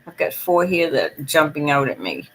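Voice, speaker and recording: A woman's voice: sits low, big pitch swings, normal speed, slight background noise, poor audio. A woman with a Boston accent giving chatty, long-winded tarot readings on a live stream. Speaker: psychic reader.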